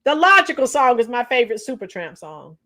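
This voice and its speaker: A middle-aged woman with a hoarse, tired voice chatting casually with livestream viewers, reading out comments and singing bits of songs. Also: fairly high pitch, very animated, fairly quick, a bit bright. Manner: chatty, reads out comments and sings along to songs. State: hoarse, losing her voice. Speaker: livestream host.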